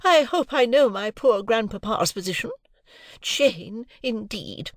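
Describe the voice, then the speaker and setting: High-pitched, haughty genteel wife, imperious, easily affronted, audiobook character played by a woman narrator, swooping intonation. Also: animated, a little slow, no room sound, studio quality. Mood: haughty indignation. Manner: majestic, indignant, imperious.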